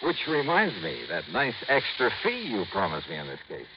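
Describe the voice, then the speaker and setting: A wry hard-boiled investigator. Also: male voice, low-pitched, huge pitch swings, moderate pace, exaggerated voice. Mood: dry. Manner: wry, clipped, streetwise. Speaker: lead character and narrator. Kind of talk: 1950s radio drama acting. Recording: hissy lo-fi old radio broadcast.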